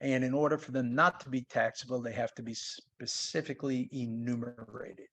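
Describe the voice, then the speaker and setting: A man's voice: medium pitch, fairly even intonation, normal speed, a bit bright. A man with a New York accent giving an informal explanatory webinar talk in a conversational, plain-spoken way. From New York, United States. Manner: conversational, plain-spoken, uses everyday examples. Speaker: sales tax consultant presenting.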